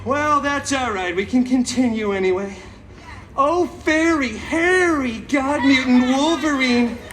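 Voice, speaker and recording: A man's voice: very high voice, unhurried. A man playing a chaotic, cheeky, vain masked antihero who tells a story theatrically to a live audience with sassy, mock-sweet asides. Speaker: theme park stage show performer. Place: theme park stage, recorded from the audience. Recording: amplified live show, distant, crowd noise.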